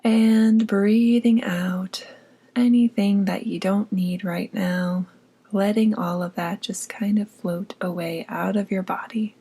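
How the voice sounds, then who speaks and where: Female meditation guide, medium pitch, slow and soothing, soft breathy delivery verging on whisper. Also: steady tone. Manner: soothing, unhurried, gently instructing. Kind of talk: scripted guided meditation narration.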